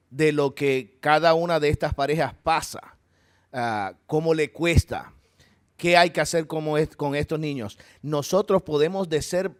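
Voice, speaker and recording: Earnest Puerto Rican pastor, dry sound. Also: male voice, fairly high pitch, no echo. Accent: Puerto Rican Spanish. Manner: earnest, conversational preaching with asides. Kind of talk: church talk and closing prayer. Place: church hall.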